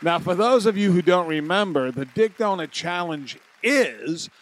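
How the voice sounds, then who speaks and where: A man's voice: high voice, lively intonation, measured pace, some chatter behind, slight background noise. A man hosting a comedy podcast in casual banter, handing down mock-serious pronouncements. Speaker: podcast host.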